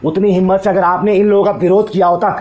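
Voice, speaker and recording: A man's voice: high voice, flat delivery, fast talker, darkish tone, muffled recording, natural voice. A man playing a bold, righteous kinnar elder sister in a Hindi short film, speaking emphatically. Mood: righteous scolding.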